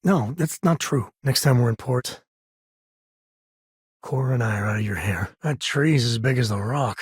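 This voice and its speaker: A man voicing a rugged, wry, warm-hearted space ranger in a video game, with a folksy, conversational way of talking. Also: medium pitch, moderate pace, husky, dry recording, clean studio sound, natural voice. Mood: wry, casual. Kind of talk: video game character dialogue.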